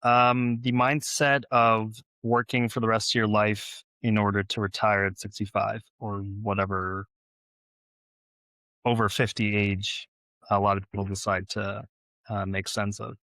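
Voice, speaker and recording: Guy guest on a podcast, lowish voice, pretty monotone, chatting through answers. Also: unhurried, no echo. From born in Ukraine, grew up in Los Angeles, United States. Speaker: podcast guest, real estate investor and former Navy officer. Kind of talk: conversational interview answers.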